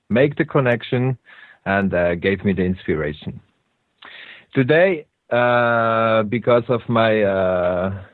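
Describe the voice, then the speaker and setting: A lower-voiced man with a Swiss German accent hosts a radio show, speaking English as a second language in a loose, unscripted monologue. He stops often to search for words and slips on grammar as he goes. His voice comes through a dry, tinny, phone-like line. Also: no echo. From Switzerland. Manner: halting, with frequent hesitations and non-native grammar.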